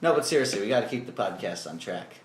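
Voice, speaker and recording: A man's voice: fairly low pitch, animated, fast talker, slight background noise. A man co-hosting a podcast, joking and teasing the others with crude, profane banter. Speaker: podcast co-host. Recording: echoey room.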